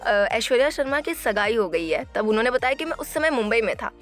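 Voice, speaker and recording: A woman presenting entertainment gossip in an informal narration, halting and often repeating or correcting herself. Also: fairly high pitch, animated, soft background music. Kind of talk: informal celebrity news narration.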